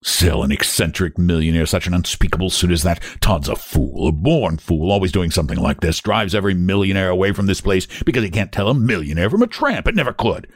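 A man voicing an excitable male tailor-shop owner who fawns over a customer in a rush of flattery. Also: medium pitch, slightly rough, no echo, studio quality, heavily acted. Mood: fawning. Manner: gushing, rapid, breathless flattery.

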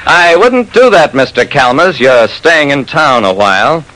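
A man's voice: fairly high pitch, expressive, measured pace, bright, forceful tone, low-quality recording. A man narrating a classic radio detective drama as its lead, in a wry, dramatic first-person storytelling manner.

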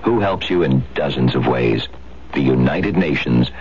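A man's voice: rumbling low, expressive, a little slow, a little mellow. A man reading a scripted public service announcement on 1970s radio. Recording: muffled, noisy 1970s radio broadcast.

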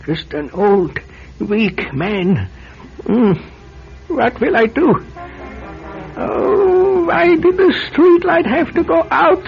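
High-voiced, frightened old recluse. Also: male voice, animated, vocal fry, faint music behind, heavily acted. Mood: anxious, fearful. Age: older. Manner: muttering to himself, halting, dramatic exclamations. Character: old frightened, paranoid, secretive male recluse. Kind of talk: old-time radio drama acting. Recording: dull lo-fi radio drama recording.

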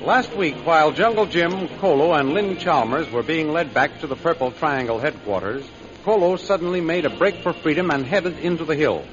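A man's voice: a little high, moderate pace, music in the background. A man announcing and narrating a 1930s radio serial in a dramatic, declamatory style. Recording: muffled, lo-fi old radio recording.

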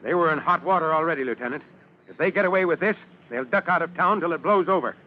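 A man's voice: on the high side, fast, lightly acted. A man playing a terse, determined fire chief who speaks with authority in an old-time radio drama. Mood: firm, assured. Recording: muffled, faintly noisy vintage radio recording.

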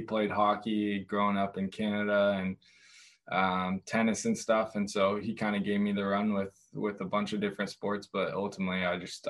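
A young man speaking as a guest on a sports interview, telling his stories casually. His voice sits somewhat low with very little rise and fall. He often hesitates and restarts as he goes. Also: fairly bright tone. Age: young adult. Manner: hesitant, many fillers and restarts. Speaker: guest, college goalkeeper.

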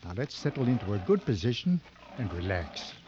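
An actor playing a seasoned, world-weary professional hunter in an old radio drama, terse and commanding. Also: male voice, fairly low pitch, big pitch swings, fairly quick. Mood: musing, weary. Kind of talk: 1940s-50s radio drama acting. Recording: dull vintage radio recording, faint noise.

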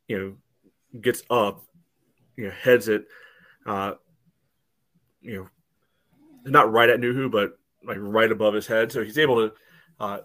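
A man giving casual match analysis as a podcast panelist, in a halting way with frequent filler phrases. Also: on the low side, fairly bright tone. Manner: halting, peppered with 'you know'.

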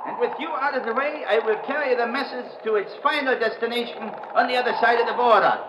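Sinister formal mountain guide, really high voice for a man, echoey noisy old radio. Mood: gloating menace. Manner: stiff, formal, deliberate phrasing. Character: treacherous, coolly menacing male mountain guide. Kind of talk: 1950s radio drama villain acting. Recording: noisy, echoey, muffled vintage radio recording.

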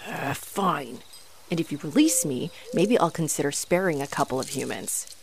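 A woman's voice: low voice, animated, moderate pace, creaky, a little hiss or hum. A woman playing an aggravated, haughty, flirtatious female vampire in a scripted audio roleplay, with mocking, theatrical delivery. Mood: irritated, bargaining. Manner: mocking, sardonic, theatrical taunting.

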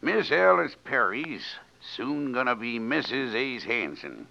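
Scheming Western rancher heavy, deep fry, lively pitch, vintage radio western. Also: male voice, slow pace, full character voice. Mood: smooth, scheming. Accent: American Western. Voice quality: gravelly. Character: scheming rancher villain posing as peaceable man. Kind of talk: old-time radio western acting. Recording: muffled old radio recording.

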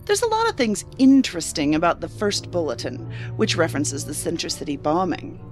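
An actress playing a skeptical, sharp-tongued federal agent in a science fiction audio drama, blunt and challenging with a sardonic edge. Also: female voice, on the low side, wildly swooping pitch, fairly quick. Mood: challenging, impatient. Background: music under the voice.

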